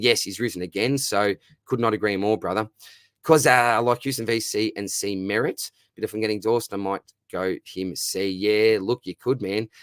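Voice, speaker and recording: Laid-back Australian bloke, low voice. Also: male voice, no echo. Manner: matey and chatty, lots of "mate" and "brother", reads out questions. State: dry throat, losing his voice. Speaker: fantasy football streamer answering viewer questions. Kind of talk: casual live-stream Q&A.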